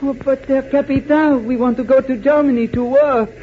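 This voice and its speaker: An actor playing an eager, earnest and polite young hero in 1940s radio drama style. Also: male voice, very high voice, steady tone, normal speed. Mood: pleading, meek. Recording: muffled 1940s radio recording, faint noise.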